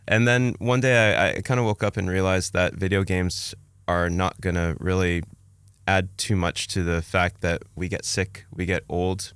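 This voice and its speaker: Low, smooth-voiced man. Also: steady tone, moderate pace, fairly bright tone, dry recording. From Canada, born in Romania. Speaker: podcast guest, investor. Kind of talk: conversational personal storytelling.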